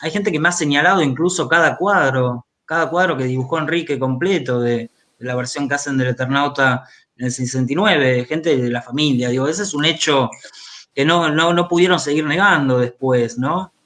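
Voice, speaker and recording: A man's voice: fairly high pitch, moderate pace, fairly bright tone. A man with an Argentine accent speaking as an interviewed writer, conversational and hesitant, often repeating words as he goes. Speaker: interviewed author, journalist and biographer. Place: remote video call.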